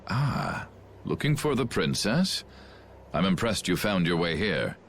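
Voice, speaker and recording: An actor playing a scheming middle-aged knight commander in a game, pompous, condescending and theatrical. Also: male voice, sits low, wildly swooping pitch, measured pace, vocal fry, fairly bright tone, a little hiss or hum, exaggerated voice. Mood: smug contempt. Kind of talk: English game dub acting.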